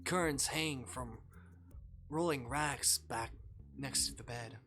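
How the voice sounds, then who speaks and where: A man with a bright, forward voice of medium pitch reads a visual novel aloud for a livestream. His delivery is dramatic and often hushed, with drawn-out phrases. Faint music plays behind him. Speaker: streamer.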